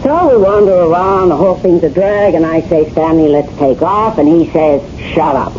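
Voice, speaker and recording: A woman's voice: lowish voice, moderate pace, darkish tone, lightly acted. An actor playing a brassy, flirtatious wife fed up with her husband, in slangy, wisecracking 1970s radio drama style, played by a woman. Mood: dismissive, chatty. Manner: slangy, wisecracking, ungrammatical delivery. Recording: muffled, hissy old radio broadcast recording.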